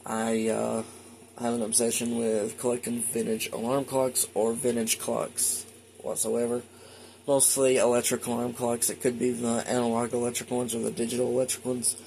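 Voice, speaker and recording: A man's voice: mid-range voice, monotone, normal speed, creaky, darkish tone, slight background noise, poor audio. A man giving a casual, rambling, matter-of-fact show-and-tell as a hobbyist collector at home. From United States. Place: indoors at home.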